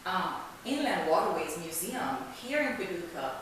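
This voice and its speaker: A woman's voice: lowish voice, animated, moderate pace, a bit bright. A woman hosting a talk show interview in a conversational way, often saying "you know". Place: indoors, echoey room. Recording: echoey room, distant mic, hiss.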